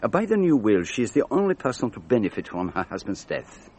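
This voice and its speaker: Some noise sits behind a low male voice playing a precise sleuth for radio. The delivery is theatrical and self-assured, rising and falling widely as it explains like a teacher. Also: very animated, a little fast. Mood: explaining, deliberate. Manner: precise, theatrical, gently didactic. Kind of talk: radio drama acting.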